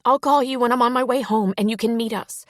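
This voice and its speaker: A woman's voice: fairly high pitch, fast talker, no room sound, pitched above the performer's own voice, lightly acted. Audiobook role: tender-hearted, anxious young woman, voiced by a female narrator, urgent delivery, dry close sound. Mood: anxious, relieved. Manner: worried, earnest, urgent. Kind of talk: audiobook character dialogue.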